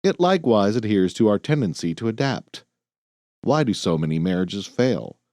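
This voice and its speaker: A man reading a nonfiction audiobook in a measured, earnest, clearly phrased way. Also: lowish voice, big pitch swings, a little mellow, dry recording, clean studio sound. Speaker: audiobook narrator. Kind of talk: scripted nonfiction audiobook narration.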